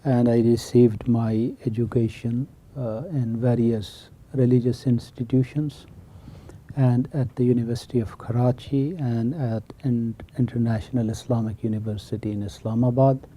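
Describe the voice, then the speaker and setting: An older man with a Pakistani accent, a professor explaining his views in an interview in an emphatic, deliberate manner. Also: mid-range voice, steady tone, warm tone. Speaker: professor of Islamic studies.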